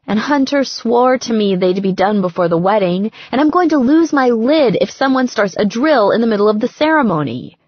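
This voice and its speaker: Woman acting out a frantic, brash bride-to-be, swooping pitch. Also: expressive, normal speed, hardly any pauses, no echo, dull audio. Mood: exasperated, shrill. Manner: emphatic, exclamatory, slangy. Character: frantic, bossy bride-to-be baker, brash and dramatic. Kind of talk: audiobook character dialogue.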